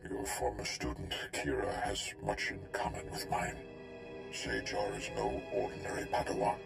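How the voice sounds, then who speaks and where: An actor playing a wise, solemn old Jedi Master in a video game, deep and gravelly, speaking in a measured, formal way. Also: male voice, loud music behind the voice, exaggerated voice. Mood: grave, assured.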